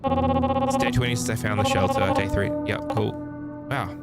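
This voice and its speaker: A man with a fairly low voice reads a horror visual novel aloud as a game streamer. He slips casual, playful asides into the story reading. Loud game music plays behind him. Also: wildly swooping pitch, moderate pace. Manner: playful asides, sung filler syllables.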